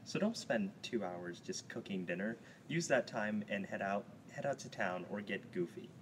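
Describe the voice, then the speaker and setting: Man as low-voiced 1920s radio pitchman. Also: lively intonation, normal speed, in a large hall, lightly acted. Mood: salesmanlike. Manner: salesmanlike, slangy, stumbles over script. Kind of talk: 1920s radio advertisement acting. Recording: noisy amateur recording.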